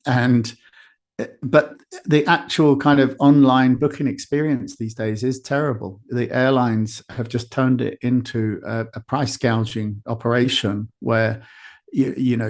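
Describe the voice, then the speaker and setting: A man's voice: mid-range voice, darkish tone. A man hosting an interview podcast in a conversational style, feeling his way through loosely built, rambling sentences. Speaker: podcast host.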